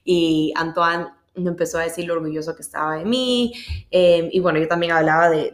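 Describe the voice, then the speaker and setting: A woman with an Ecuadorian accent hosting a podcast, telling personal stories casually and emphatically, repeating words for stress. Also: lowish voice. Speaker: podcast host. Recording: echoey, roomy sound.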